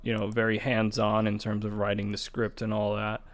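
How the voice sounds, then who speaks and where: Male podcast co-host, lowish register, informal gamer conversation. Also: completely flat delivery, normal speed. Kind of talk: casual gaming podcast chat.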